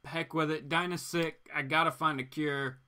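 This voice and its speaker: A man's voice: fairly high pitch, steady tone, moderate pace, pushed and bright, clean tone. Man acting an urgent, defiant comic-book hero. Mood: desperate. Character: embattled, defiant male archer superhero.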